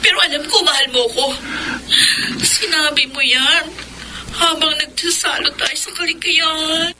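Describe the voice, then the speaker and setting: A woman's voice: high-pitched, steady tone, unhurried, noisy background. An actress playing a lovelorn, stubborn young provincial woman in a Filipino radio drama, insistent and emotional in delivery. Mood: determined, pleading.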